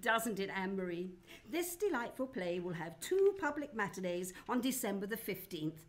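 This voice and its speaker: A woman's voice: mid-range voice, sing-song, normal speed. A woman announcing and narrating in a theatrical, formal old-time radio show style.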